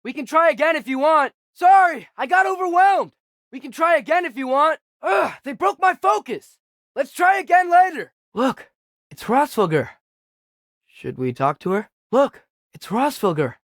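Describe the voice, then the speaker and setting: A man's voice: very high voice, sing-song, normal speed, fairly bright tone, no echo, heavily acted. An earnest American teenage boy adventurer, close and dry. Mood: urgent. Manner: hesitant, earnest, questioning. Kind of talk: video game character dialogue.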